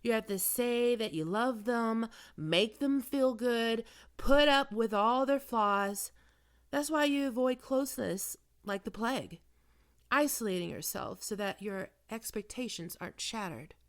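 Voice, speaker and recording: A woman playing a probing, smoothly manipulative psychiatrist in an ASMR roleplay, speaking in measured, deliberate phrases. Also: a little high, animated. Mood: probing, insinuating. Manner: measured clinical questioning with deliberate pauses. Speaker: voice actor performing a roleplay.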